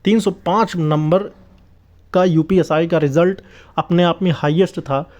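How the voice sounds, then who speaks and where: A man's voice: on the high side, steady tone, measured pace, a little mellow. A man giving an informal update to viewers as a channel host, explaining emphatically and repeating points, with English terms mixed in. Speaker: YouTube host giving exam news. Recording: dull, lo-fi muffled sound.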